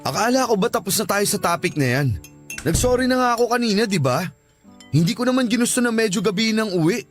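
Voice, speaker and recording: High-voiced earnest young Filipino husband. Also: male voice, lively intonation, fast talker, soft background music. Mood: defensive, weary. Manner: earnest, emotive. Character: earnest young husband and father, tired, defensive. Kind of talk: Filipino radio drama acting.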